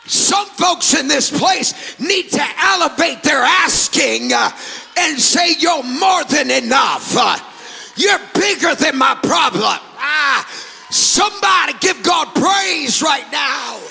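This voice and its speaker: A male pastor whose first language is Spanish, preaching with shouting, sing-song phrases and calls to the congregation. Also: extremely high pitch, lively intonation, slow pace, creaky, in a large hall, faint music behind, some chatter behind. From Latin America. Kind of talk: sermon. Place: church sanctuary.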